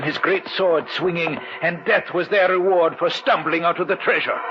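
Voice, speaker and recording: An actor playing a solemn, mysterious foreign treasure seeker, speaking formally and with measured storytelling in an old radio drama. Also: male voice, on the high side, lightly acted. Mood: ominous storytelling. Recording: muffled, hissy old radio recording.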